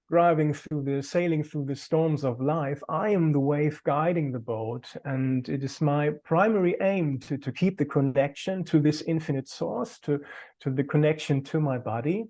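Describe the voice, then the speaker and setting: A man's voice: on the high side, unhurried, mellow. A man with a German accent, a native German speaker, talking earnestly as a qigong teacher on a video call, sometimes searching for words.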